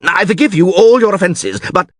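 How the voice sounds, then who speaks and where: A man's voice: medium pitch, huge pitch swings, normal speed, a bit bright, husky, no room sound, clean studio sound, pitched above the performer's own voice, heavily acted. A man voicing a blustering, foul-mouthed town mayor in a fantasy storybook, bossy and exasperated. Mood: panicked fury.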